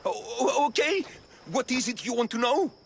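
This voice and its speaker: An actor playing a nervous, self-serving male henchman in a video game cutscene, halting and bargaining. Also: very high-pitched, lively intonation. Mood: nervous, evasive.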